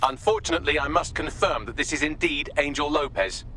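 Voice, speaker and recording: A man's voice: on the high side, fairly quick, bright, forceful tone, slight background noise. A man playing a wry, precise and formal AI assistant in a video game. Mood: clinical. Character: dry, wry, chipper male AI assistant. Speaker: AI assistant character.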